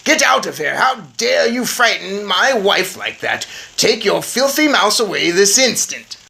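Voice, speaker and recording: A woman voicing a loud, pompous, blustering middle-aged man. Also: low voice, animated, a little slow, creaky, full character voice. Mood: angry blustering.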